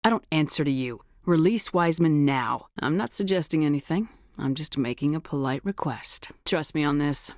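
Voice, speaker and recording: A commanding military woman from a sci-fi game. Her voice sits fairly low, and her delivery is firm, clipped and no-nonsense. The sound is dry and studio-clean, with very little room. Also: moderate pace, dry recording. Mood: firm, measured. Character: tough, commanding female military commander in space. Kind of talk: video game dialogue lines.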